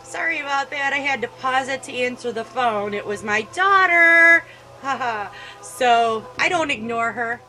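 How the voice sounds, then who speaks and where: A woman's voice: high-pitched, measured pace, sharp tone, faint music behind, rough recording. A middle-aged woman hosting a gaming video, chatting casually and reading game text aloud with emotional asides.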